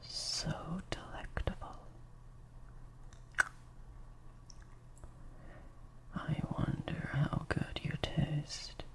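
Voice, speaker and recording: Guy doing a sadistic vampire master, super gravelly half whisper, slow n teasing, asmr roleplay type thing. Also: fairly high pitch, heavily acted. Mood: hungry, savoring. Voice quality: breathy, creaky, mostly whispered. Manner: slow, drawn-out, softly taunting. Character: slightly sadistic male vampire master, menacing yet praising. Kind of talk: improvised ASMR-style audio roleplay.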